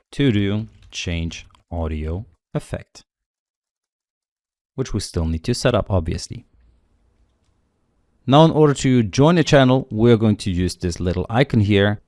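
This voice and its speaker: A man's voice: on the low side, expressive, measured pace, darkish tone, no room sound, clean studio sound. A man teaching a coding tutorial, steadily explaining each step aloud while working through the code. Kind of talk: screen-recorded coding walkthrough.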